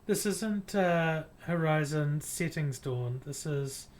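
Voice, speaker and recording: A man with a New Zealand accent streaming a game, chatting softly and hesitantly with many fillers and corny jokes. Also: fairly high pitch, animated, slow pace, slight background noise. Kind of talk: casual gaming livestream chat. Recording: loud game music behind.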